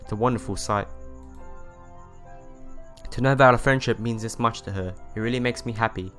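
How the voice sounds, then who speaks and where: A man's voice: medium pitch, moderate pace, a bit bright. A man reading a visual novel aloud, with casual asides and some stumbles over words that he goes back over. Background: music playing behind the voice.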